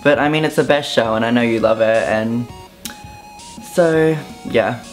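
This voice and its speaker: A young man from Southeast Queensland with an Australian accent, talking casually to camera with an offhand, relaxed manner and frequent ums. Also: medium pitch, unhurried, faint music behind. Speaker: vlogger. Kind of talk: casual vlog monologue.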